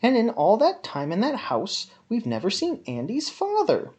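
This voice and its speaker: A woman's voice: on the high side, huge pitch swings, moderate pace, darkish tone. A woman giving a melodramatic, emphatic dramatic reading of a written review, stretching out words for effect. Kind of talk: theatrical dramatic reading of a monologue.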